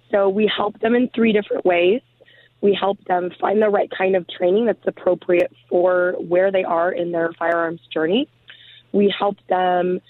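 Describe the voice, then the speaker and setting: Hoarse woman on a tinny phone line. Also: mid-range voice, no echo. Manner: hesitant, many ums. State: lost her voice from a cold. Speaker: guest, program founder. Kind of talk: casual interview talk.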